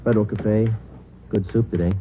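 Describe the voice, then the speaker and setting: Guy acting as low voiced american cop from an old radio show, dry deadpan delivery, real muffled sound with a bit of hiss. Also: normal speed, lightly acted. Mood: matter-of-fact. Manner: deadpan, terse, matter-of-fact. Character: calm, businesslike male police sergeant detective. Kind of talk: 1950s radio drama, clipped first-person narration and dialogue. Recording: muffled, hissy old-time radio recording.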